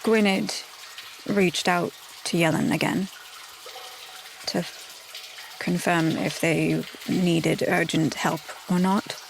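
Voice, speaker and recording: A woman playing a gentle, steady, caring adventurer in a tabletop fantasy game. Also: fairly low pitch, slow pace, gravelly, pauses often, slight background noise, rough recording. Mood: concerned, hesitant.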